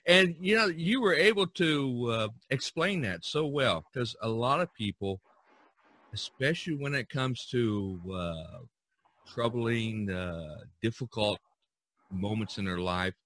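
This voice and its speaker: A man's voice: mid-range voice, animated, slow pace, fairly bright tone. A man hosting a podcast, chatting casually over a video call. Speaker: podcast host. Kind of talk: casual chat.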